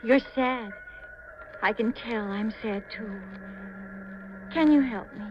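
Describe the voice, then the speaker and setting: An actress playing a lost, confused young woman in a halting, plaintive way, in the style of a 1950s radio mystery. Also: fairly high pitch. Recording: old muffled radio recording, hissy.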